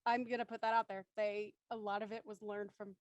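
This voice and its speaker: A woman's voice: mid-range voice, flat delivery, fairly quick, muffled recording. A woman hosting a live panel discussion, speaking in an emphatic, articulate way. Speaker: host of a live show discussion.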